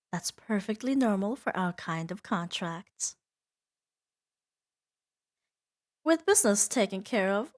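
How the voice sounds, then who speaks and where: A woman voicing a seductive, possessive female demon in an intimate ASMR roleplay, teasing and soothing with soft dominance. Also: mid-range voice. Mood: pleased, sly. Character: seductive, possessive female demon doting on her new pet.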